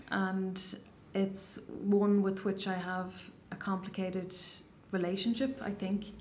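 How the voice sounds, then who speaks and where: A woman's voice: mid-range voice, slight background noise. A woman in her thirties with a Donegal Irish accent, answering interview questions in a thoughtful, hesitant way with many restarts. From Ireland, Donegal Gaeltacht. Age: 30s. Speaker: poet, interview guest.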